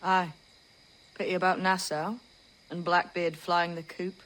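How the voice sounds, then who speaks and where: A man's voice: high voice, level tone, a little slow, a bit bright, low-quality recording. An actor playing a disillusioned pirate in a video game, scolding his friend in an exasperated, reproachful way. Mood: regretful. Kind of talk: dramatic video game acting.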